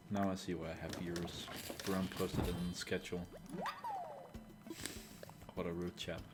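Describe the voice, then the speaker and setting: Deep-voiced man with dry sarcasm. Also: little pitch movement, moderate pace, loud music behind the voice. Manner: dry, sarcastic, full of filler "uh"s. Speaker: streamer. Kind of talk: casual live gameplay commentary.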